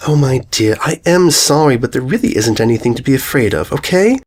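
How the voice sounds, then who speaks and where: A man's voice: mid-range voice, expressive, a little fast, a little mellow, lightly acted. A man voicing a refined female pony, a motherly older sister who speaks in a proper, soothing, cooing way in a storybook reading. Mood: gentle, reassuring.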